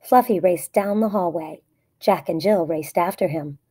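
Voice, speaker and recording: Low, husky woman reading a children's story. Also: unhurried, mellow. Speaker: storybook reader. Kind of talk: scripted children's story narration.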